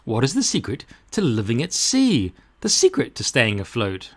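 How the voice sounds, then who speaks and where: A man's voice: mid-range voice, huge pitch swings, moderate pace, a bit bright. Man voicing a dreamy, contented little cork, lilting. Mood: curious. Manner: rhyming, philosophical. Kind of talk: storybook character read-aloud.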